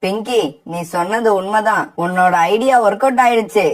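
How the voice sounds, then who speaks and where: A woman voicing a young male bird student in a children's cartoon, earnest and quick to protest. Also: mid-range voice, natural voice. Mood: pleased.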